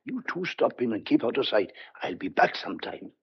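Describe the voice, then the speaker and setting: Shrewd old country farmhand, muffled. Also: male voice, mid-range voice, big pitch swings, a little fast, slightly rough, heavily acted. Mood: sly, reassuring. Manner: folksy dialect, colourful country sayings. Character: shrewd, stubborn old rural farmhand, loyal and secretive. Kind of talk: old-fashioned radio thriller acting. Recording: dull, lo-fi radio drama sound.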